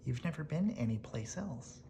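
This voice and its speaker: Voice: medium pitch, lively intonation, normal speed, warm tone, a little hiss or hum, voice pushed up, close to an everyday voice. A storyteller voicing a well-travelled, sceptical little bird in a picture book, speaking plainly and challenging.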